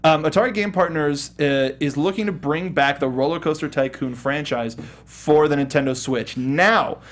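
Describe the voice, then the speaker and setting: A man's voice: on the high side, normal speed, fairly bright tone. A man hosting a casual panel discussion of gaming news, speaking in stop-start phrases. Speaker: host.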